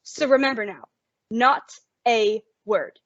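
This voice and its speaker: Woman acting a wry young working woman, exasperated patience, radio sitcom, high pitch, dry lo-fi recording. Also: takes it slowly, dry recording. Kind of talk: old-time radio sitcom acting, narrating to listeners.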